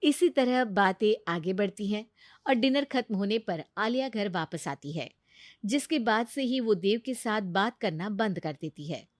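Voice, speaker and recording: A woman's voice: medium pitch, expressive, a little slow, dry recording, studio quality. A woman narrating a scripted story in a dramatic storytelling style.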